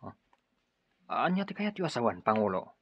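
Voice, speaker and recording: A man with an Ilocano accent playing a smooth-talking, persuasive stranger in a radio drama. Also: mid-range voice, big pitch swings, moderate pace, dry recording. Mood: deferential. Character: Edgar, smooth-talking male stranger, persuasive recruiter for a group. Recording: dull lo-fi radio drama recording.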